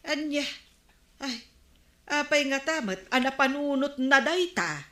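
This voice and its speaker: A woman's voice: high voice, lively intonation, a little slow, a bit bright. A woman playing a plain-spoken village wife with an Ilocano accent in a radio comedy drama. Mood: questioning. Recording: roomy, echoing studio sound.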